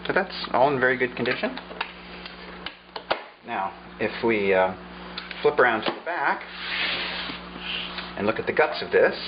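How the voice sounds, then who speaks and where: A man casually narrating a show-and-tell about a vintage radio he restored, relaxed and pausing often with "uh" and "um". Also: mid-range voice, highs cut off, background noise. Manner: relaxed, with frequent "uh" and "um".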